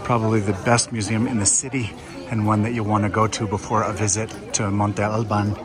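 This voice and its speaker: A man narrating a relaxed walking tour of an outdoor market, speaking calmly and sparingly as a travel vlogger. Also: on the low side. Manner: calm, unhurried, sparse commentary. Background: crowd chatter.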